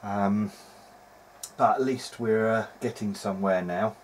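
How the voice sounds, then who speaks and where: A man's voice: fairly low pitch, steady tone, measured pace, a little hiss or hum. Hesitant man thinking aloud. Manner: hesitant, meandering, matter-of-fact. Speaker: electronics repair hobbyist. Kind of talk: video diary, thinking aloud while working. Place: indoor workbench.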